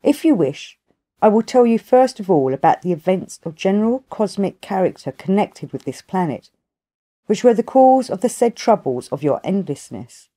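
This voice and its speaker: A woman voicing a wise, ancient grandfather in an audiobook, telling his tale in a formal, measured, long-winded way. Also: medium pitch, big pitch swings, warm tone. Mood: reflective.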